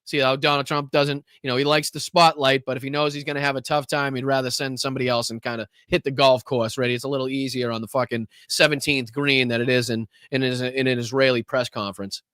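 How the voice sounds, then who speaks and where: Brief: male livestream host, loose and profane, smooth voice, dry close mic. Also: medium pitch, flat delivery, quick pace, few pauses, fairly bright tone, dry recording. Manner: rambling, profane, many fillers. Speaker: podcast host. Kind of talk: casual livestream political commentary.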